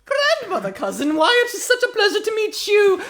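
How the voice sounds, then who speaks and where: A man's voice: extremely high pitch, wildly swooping pitch, fairly quick, a bit bright, pitched above the performer's own voice, full character voice. A man voicing a genteel, fussy old grandmother detective, fluttery and easily flustered, in an improvised comic radio play. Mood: flustered, gracious.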